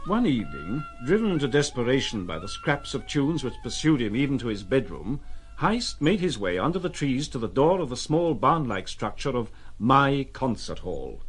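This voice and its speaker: A man narrating an audio drama in a measured, deliberate and dramatic literary storytelling style. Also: mid-range voice, very animated, soft background music.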